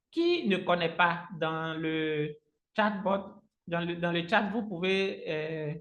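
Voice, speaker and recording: A man whose native language is Agni, teaching a live software tutorial step by step as an online trainer, often repeating his phrases. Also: high-pitched. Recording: roomy, echoing sound.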